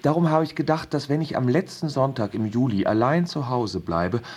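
Ein Schauspieler spielt in einem deutschen Hörspiel einen überreizten, ängstlichen, verträumten Familienvater, der fahrig und weitschweifig redet. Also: male voice, mid-range voice, moderate pace, darkish tone, slightly rough, lightly acted. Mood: nervous, confiding. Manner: agitated, rambling, apologetic. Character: overwrought, anxious family father and businessman, dreamy, claustrophobic. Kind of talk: German radio drama acting.

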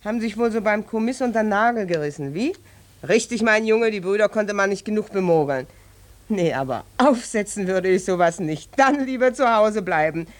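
An actress playing a chatty, callous working-class housewife in a 1940s German radio drama, blunt and breezy about grim things. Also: female voice, medium pitch, expressive, moderate pace, lightly acted. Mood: amused, nosy.

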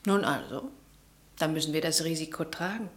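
A woman acting as a pragmatic, steady confidante in a radio drama. Her voice is on the low side, and she speaks with composed, knowing deliberation. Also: normal speed, lightly acted. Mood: composed, pensive. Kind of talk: German radio play acting.

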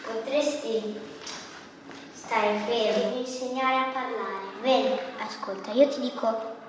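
A young-sounding female in a radio play, speaking in simple, childlike, halting and repetitive phrases. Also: a little high, background noise. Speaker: performer in a live radio play. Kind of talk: simple childlike dialogue and teaching. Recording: very reverberant hall, faint music.

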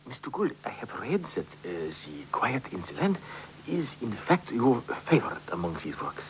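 Man putting on an animated, pedantic German professor. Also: medium pitch, normal speed, natural voice, rough recording. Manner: hesitant, pedantic, deferential. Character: earnest, long-winded German musicologist doctor. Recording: noticeable background noise on tape.

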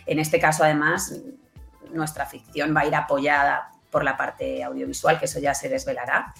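A woman's voice: low voice, little pitch movement, moderate pace, creaky, a bit bright, faint music behind. A woman with an accent from Spain speaking as an interview guest, explaining conversationally and often checking with short tag questions. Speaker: interview guest, audio fiction producer.

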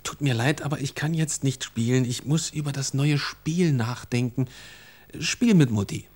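Busy dad played by a man: mid-pitched, self-assured, matter-of-fact, naturalistic children's radio drama. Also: moderate pace, darkish tone, natural voice. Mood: dismissive. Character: busy, self-confident father, computer game designer.

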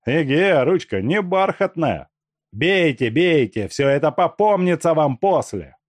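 Cornered thug, high and strained, begging and snarling by turns; male narrator puts him on. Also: big pitch swings, a little slow, dry recording. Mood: threatening. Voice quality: strained, raised and pleading. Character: desperate captured male robber, pleading then menacing. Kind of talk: audiobook character voice.

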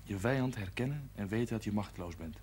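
A man's voice: lowish voice, animated, quick pace, darkish tone, lightly acted. An actor playing a blunt, cynical man with a sensitive streak, speaking tersely in a television drama. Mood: grim. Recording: noisy 1970s television soundtrack.

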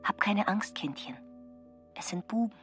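An actress playing a wilful, excitable woman who relishes mischief, in a radio drama. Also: medium pitch, measured pace, in a whisper, soft background music, natural voice. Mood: soothing. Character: Margarita, thrilled, wilful woman on a destructive rampage. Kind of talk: radio drama acting and narration.

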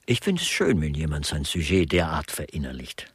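A sardonic artist playing detective in a radio drama. His voice is husky and swings animatedly in pitch, with mannered, literary phrasing and dry irony. The sound is close and dry. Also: huge pitch swings, a little fast, no room sound, exaggerated voice. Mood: sardonic, probing. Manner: mannered, literary, dryly ironic. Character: sardonic male artist playing amateur murder investigator. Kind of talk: German radio play dialogue.

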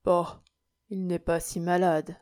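A woman voicing a kindly, shrewd male village priest in an audiobook, gently admonishing and measured. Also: on the low side, level tone. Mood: wry. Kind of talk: audiobook character acting.

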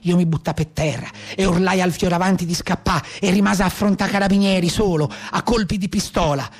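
A man's voice: high-pitched, fairly even intonation, a little fast. A man playing a dead legendary brigand of the Maremma who recounts his own end in a theatre monologue, with a Tuscan accent and grave delivery. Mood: tense, defiant. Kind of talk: dramatic monologue in narrative theatre.

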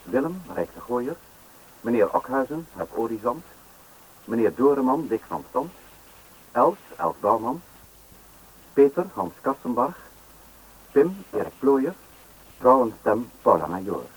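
Husky, animated male radio announcer. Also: mid-range voice, unhurried, long pauses, rough recording. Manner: formal, list-reading delivery. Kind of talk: formal scripted closing credits announcement.

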